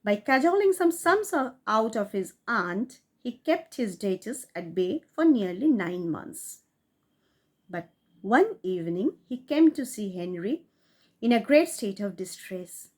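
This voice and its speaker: A woman reading a short story aloud in a measured, expressive narration. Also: medium pitch. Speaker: audiobook reader.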